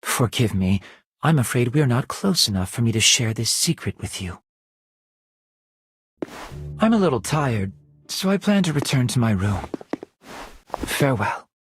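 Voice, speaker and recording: An actor playing a refined, reserved gentleman musician in a video game, speaking in a genteel, formal and guarded way. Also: mid-range voice, big pitch swings, a little slow, long pauses, husky, no room sound. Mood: polite, guarded. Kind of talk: scripted game dialogue.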